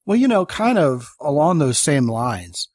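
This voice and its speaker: A man's voice: fairly high pitch, sing-song, unhurried, darkish tone, breathy edge, dry recording, studio quality. An American man hosting a podcast, talking in a chatty, enthusiastic way. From United States (Maryland). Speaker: podcast host. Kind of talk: casual conversation and show sign-off.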